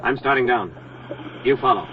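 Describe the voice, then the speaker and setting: Male, 1940s radio drama: actor as commanding Navy diver, terse telegraphic delivery, mid pitch, noisy old recording. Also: expressive, normal speed, narrow, tinny audio, lightly acted. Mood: terse, tense. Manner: clipped, terse telegraphic phrases.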